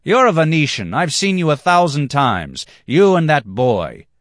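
A drunken, quarrelsome man who sneers and bellows insults across the table, as a male reader acts him out. The voice sits well above the reader's own, loud and harsh. The sound is dry. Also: a little high, sing-song, a little slow, dry recording, voice pushed up. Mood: sneering.

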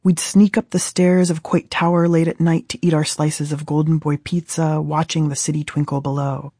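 A woman's voice: low-pitched, little pitch movement, moderate pace, mellow, breathy edge, no room sound. An American woman in her thirties from Southern California, reading her own book aloud as scripted audiobook narration in a studio. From Southern California, USA. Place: dry recording studio.

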